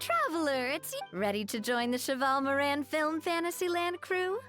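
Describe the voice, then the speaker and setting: A woman's voice: high voice, very animated, moderate pace, a bit bright, soft background music. A woman playing a spirited, confident young lady in a video game, bold and theatrical. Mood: eager.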